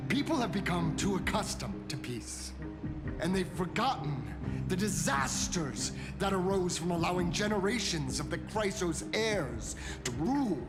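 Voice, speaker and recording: An actor playing a sinister, courteous assassin leader in a video game, drawling with theatrical, mocking politeness. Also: male voice, fairly high pitch. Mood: bitter. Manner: drawling, mock-polite, theatrical, pausing for effect. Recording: long reverb under loud music.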